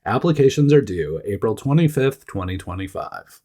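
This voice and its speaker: A middle-aged man with a monotone, nasal voice, hosting a casual solo startup news show and talking chattily with small laughs between phrases. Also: fairly low pitch, moderate pace, husky. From Oregon, United States. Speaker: startup news podcast host.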